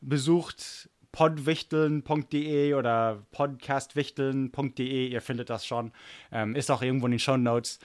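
A man's voice: mid-range voice, fairly even intonation, a little slow, dry recording. An American man, a native English speaker, co-hosting a podcast in casual chat, self-deprecating and full of restarts. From United States. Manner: chatty, self-deprecating, frequent restarts and fillers. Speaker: podcast co-host.

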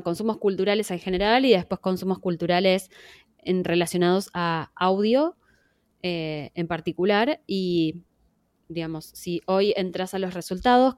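An Argentine woman answers interview questions in a measured, patient way, pausing on fillers and closing points with "¿no?". Her voice sits a little low and sounds smooth and clean. The sound is dry and close. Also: darkish tone, no echo. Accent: Argentine Spanish. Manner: measured, many fillers and "¿no?" tags. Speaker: podcast survey organizer. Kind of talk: interview guest explaining.

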